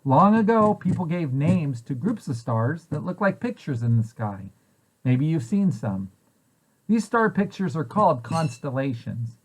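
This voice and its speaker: A man's voice: fairly high pitch, very animated, a little slow, poor audio. A man reading a children's picture book aloud in an explanatory way, posing questions and then answering them. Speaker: preschool site director reading aloud to children.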